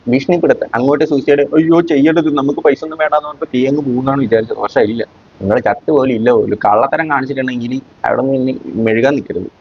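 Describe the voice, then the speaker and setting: A man's voice: mid-range voice, steady tone, rapid-fire, lo-fi sound. A man with a Kerala accent giving scornful, mocking, opinionated commentary as a YouTube commentator. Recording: rough, band-limited, roomy sound.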